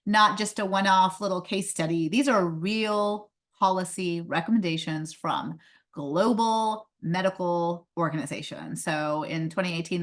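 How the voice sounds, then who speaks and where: A woman who grew up in India, a pediatrician and company founder, giving a conversational webinar talk with frequent fillers over a video call. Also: lowish voice. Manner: conversational, many um fillers, light laughs. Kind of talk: webinar presentation.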